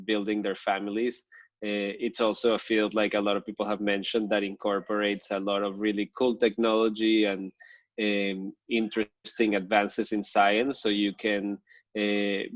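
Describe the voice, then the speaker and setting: A man from Venezuela, a fertility fellow, introducing himself fluently and relaxed on a webinar. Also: fairly low pitch, no room sound, muffled recording.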